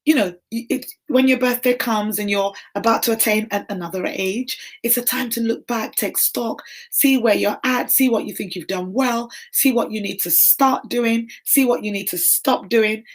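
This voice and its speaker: Hoarse woman encouraging viewers. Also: medium pitch. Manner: warm encouraging, greets viewers by name. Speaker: livestream host. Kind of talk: casual encouraging livestream chat.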